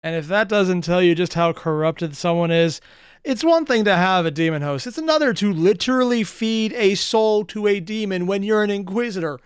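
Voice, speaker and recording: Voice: mid-range voice, normal speed, clear, resonant tone, dry recording. A reaction host giving casual, blunt commentary, musing aloud and swearing freely.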